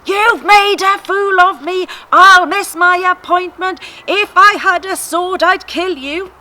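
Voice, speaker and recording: A blustering, enraged master played by a woman rants loudly in a raised, high pitch with a sharp, bright edge. It is storybook acting of an angry man. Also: slow pace, exaggerated voice. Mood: furious. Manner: loud, blustering. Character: furious ranting man, the donkey's master. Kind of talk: children's story read aloud.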